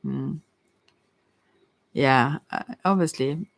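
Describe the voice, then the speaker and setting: A psychic reader offers unscripted spiritual advice in an ASMR style. The voice sits at a middle pitch and sounds very dry, with little room sound. The delivery is gentle and consoling, wandering along with frequent self-corrections. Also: creaky, no echo. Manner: halting, rambling, often self-correcting.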